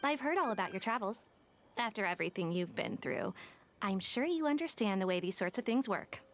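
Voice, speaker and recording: A woman's voice: medium pitch, lively intonation, a little fast, close to an everyday voice. A woman as a shrewd, teasing detective. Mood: businesslike. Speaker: detective telling a fairy tale. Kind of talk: game voice acting. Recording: game audio with clear music.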